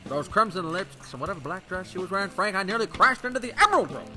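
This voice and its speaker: Old time radio male actor as smooth-talking smuggler pilot: breezy, boastful, music underneath. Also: high-pitched, lively intonation, fast. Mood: boastful, flirty. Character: flirty, boastful smooth-talking bootlegger pilot, adult man. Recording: music bed behind the dialogue.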